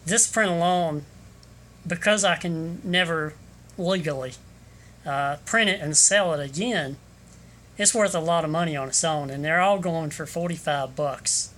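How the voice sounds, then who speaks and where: A man gives a rambling, hesitant sales pitch into his phone camera, with many ums and restarts, in a room with an overhead fan running. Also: a little slow, fairly bright tone. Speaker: local photographer selling prints.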